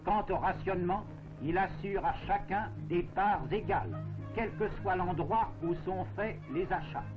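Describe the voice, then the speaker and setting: Guy doing old 1940s propaganda film voiceover, pretty high voice, formal n measured, music behind him. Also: low-quality recording. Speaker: newsreel narrator.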